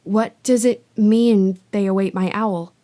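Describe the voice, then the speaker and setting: A woman's voice: mid-range voice, steady tone, measured pace, warm tone, lightly acted. A woman voicing an eleven-year-old boy who asks startled, eager questions.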